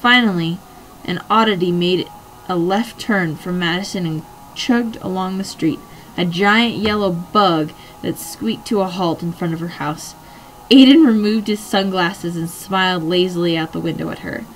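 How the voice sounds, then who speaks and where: A young woman with a hoarse, fading voice, chatting in a rambling way and then reading a novel aloud from home on a webcam. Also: on the low side, moderate pace, a little hiss or hum, rough recording. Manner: rambling, chatty, many fillers. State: hoarse, losing voice. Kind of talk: casual vlog chat and book reading.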